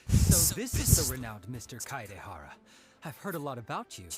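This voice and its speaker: A man voicing a lively, chatty detective. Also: mid-range voice, a little fast, loud music behind the voice, lightly acted. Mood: eager. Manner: eager, chatty, animated. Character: friendly chatty male detective agency worker. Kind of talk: video game dialogue.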